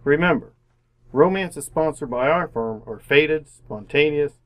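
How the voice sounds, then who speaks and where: Slick gadget salesman, higher-pitched, polished, assured, old-time radio drama. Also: unhurried, a bit bright. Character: smooth-talking salesman of romance gadgets.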